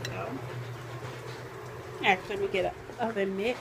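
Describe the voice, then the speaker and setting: A woman's voice: mid-range voice, big pitch swings, moderate pace, noisy background. Chatty woman cooking in a kitchen. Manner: chatty, thinks aloud, self-correcting. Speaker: cooking vlogger. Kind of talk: casual recipe walkthrough. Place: home kitchen, indoors. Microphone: camera on the counter.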